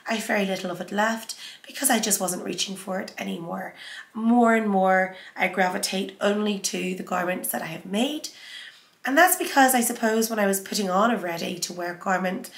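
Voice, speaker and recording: A woman's voice: mid-range voice, normal speed. A woman who has a cold and a sore throat, chatting casually on her sewing vlog. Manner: chatty, conversational, with fillers. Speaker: sewing channel host. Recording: echoey room.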